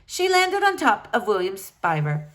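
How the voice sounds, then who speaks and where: Animated woman reading a children's book. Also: medium pitch, measured pace. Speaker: read-aloud narrator. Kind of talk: expressive children's book narration.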